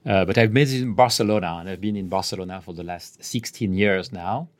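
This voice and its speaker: A man in his fifties speaks English with a French accent. His voice sits on the low side, and he chooses his words carefully, pausing often and letting phrases fade quietly at their ends. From France. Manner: measured, often pausing mid-phrase, voice trailing off softly. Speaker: guest executive. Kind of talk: podcast interview answers.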